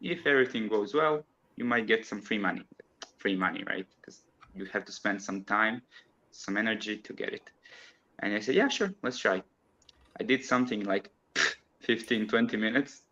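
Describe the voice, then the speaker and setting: A man's voice: fairly low pitch, normal speed, sharp tone, muffled recording. A man from Croatia, a guest on a casual online chat, talking in a chatty way and laughing often as he explains.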